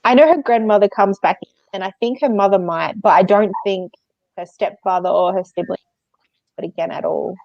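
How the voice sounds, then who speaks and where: A woman's voice: medium pitch, moderate pace, creaky, no room sound, lo-fi sound. A woman co-hosting a book discussion in a giggly, informal, conversational way. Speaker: book podcast co-host. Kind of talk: casual book discussion chat.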